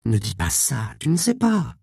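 A man's voice: mid-range voice, huge pitch swings, normal speed, creaky, darkish tone, no echo, clean studio sound, voice pushed up, full character voice. A male audiobook reader voicing a middle-aged mother who speaks in a soothing yet firm way. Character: middle-aged mother, tender but firm cloth merchant.

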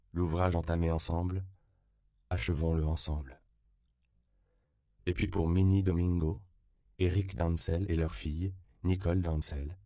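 Male audiobook narrator, very low and gravelly, dark mellow tone, dry close recording. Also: no room sound. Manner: calm, measured reading.

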